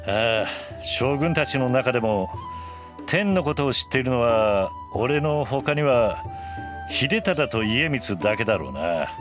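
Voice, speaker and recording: Male actor as middle-aged anime shogun boss: wry, easygoing authority, mid-pitched with lively intonation. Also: measured pace, music in the background, natural voice. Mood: casual, wry. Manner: casual, commanding, wry. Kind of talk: anime voice drama.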